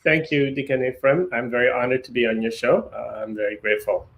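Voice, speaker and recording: A man in his fifties from Ethiopia, a deacon and historian answering interview questions in a measured, hesitant way. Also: medium pitch, fairly even intonation, a bit bright, low-quality recording.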